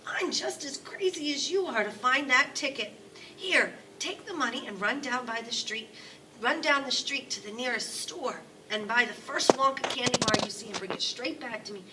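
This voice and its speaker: An excitable old grandpa from a storybook, acted out by a woman reader, speaks with sly urgency and strong emphasis. The voice sits a little away from the mic, with clear background noise. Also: a little high, normal speed, distant mic, pitched above the performer's own voice, low-quality recording. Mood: excited urging.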